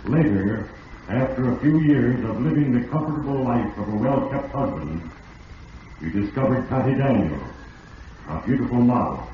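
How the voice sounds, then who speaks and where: A man playing Fate, a solemn and implacable radio drama narrator who speaks gravely and deliberately as he passes judgment. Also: mid-range voice, animated, close to an everyday voice. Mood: solemn, ominous. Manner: grave, portentous, deliberate delivery. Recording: muffled, echoing old radio recording, distant, hissy.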